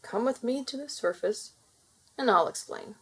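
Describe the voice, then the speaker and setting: A woman voicing a kindly, wise merman king in a storybook, calm and measured as he explains. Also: on the high side, husky, pitched above the performer's own voice, low-quality recording. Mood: kindly, explaining.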